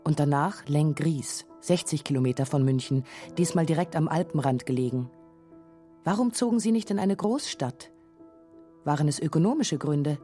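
A woman's voice: sits low, animated, normal speed, dark tone, soft background music. A woman reading scripted narration for a radio documentary feature.

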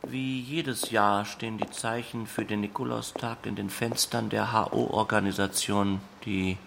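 A man's voice: on the low side, creaky, a bit bright, slight background noise, full character voice. A man reading scripted literary narration for a radio play.

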